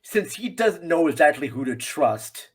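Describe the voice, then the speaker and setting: A man's voice: fairly high pitch, very animated, unhurried, slightly rough. A man talking casually and in a rambling, conversational way as a guest on a film podcast.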